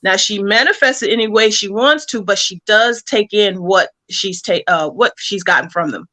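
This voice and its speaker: A woman's voice: medium pitch, normal speed, pushed and bright. A woman speaking as an interview guest, casually explaining her creative work.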